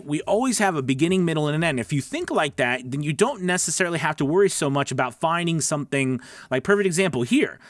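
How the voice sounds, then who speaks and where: A man's voice: a little high, a bit bright, dry recording, clean studio sound. A man giving casual, conversational instruction as a livestream coach, often checking in with his listeners. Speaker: voice-over coach hosting a weekly livestream.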